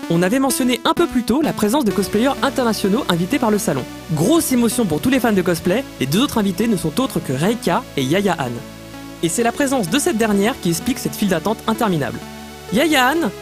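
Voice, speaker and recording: Lively male voice-over over music. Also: sits high. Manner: lively, upbeat delivery. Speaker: reporter narrating a convention recap. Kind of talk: scripted news voice-over.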